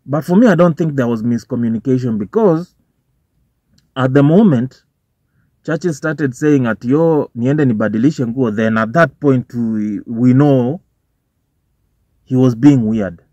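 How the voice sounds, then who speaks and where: Young Kenyan man with a Kenyan accent, mid-pitch, loose profane vlogger commentary, dull car recording. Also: measured pace, long pauses, dark tone, no echo. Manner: informal, swearing, switching between English and Swahili slang. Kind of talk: casual commentary to camera. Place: parked car, indoors. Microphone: phone or camera on the dashboard.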